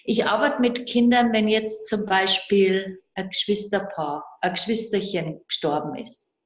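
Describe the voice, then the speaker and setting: A woman's voice: medium pitch, fairly even intonation, a little slow. An older woman, talking personally as a guest counsellor on a video call. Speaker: guest, end-of-life and grief counsellor. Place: small room indoors. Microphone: computer mic on a video call. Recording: roomy video call sound.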